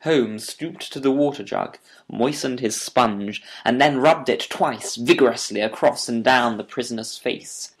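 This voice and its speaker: A man narrating a scripted audiobook as a storyteller, deliberate and literary in delivery. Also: medium pitch, breathy edge.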